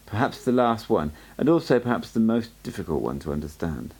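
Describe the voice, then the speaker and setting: A man voicing an old male sorcerer teacher who speaks calmly and wryly, in a measured, instructive way. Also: fairly low pitch, slightly rough, exaggerated voice.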